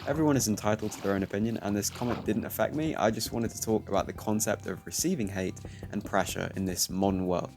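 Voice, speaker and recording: A teenage man speaking calmly and reflectively, commenting over his gameplay. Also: on the low side. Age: teens. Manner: soft-spoken, reflective. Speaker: YouTuber. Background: faint music.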